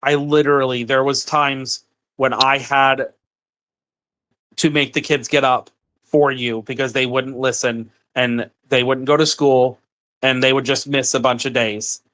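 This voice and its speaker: A man's voice: mid-range voice, little pitch movement, unhurried, bright, forceful tone. A man in a heated personal argument over the phone, frustrated and accusatory, repeating himself. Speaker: partner on a phone call.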